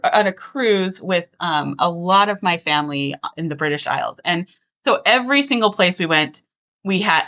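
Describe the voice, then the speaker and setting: A woman hosting a casual family podcast, chatting conversationally and thinking out loud as she talks. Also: medium pitch, highs cut off. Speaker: podcast host.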